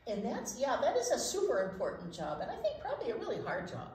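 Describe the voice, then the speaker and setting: Older woman with very lively, swooping intonation. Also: on the high side, quick pace. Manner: expressive, emphatic, speaks simply for small children. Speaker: teacher, children's storytime co-host. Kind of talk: children's storytime chat and read-aloud. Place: indoor library storytime set, seated. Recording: roomy echo, faint background noise.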